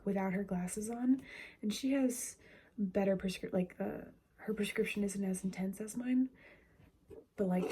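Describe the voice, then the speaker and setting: A woman in her twenties talking casually and reflectively in a soft, breathy voice that often drops into a whisper, like a personal ASMR-style vlog. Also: mid-range voice, slight background noise. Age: 20s. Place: bedroom.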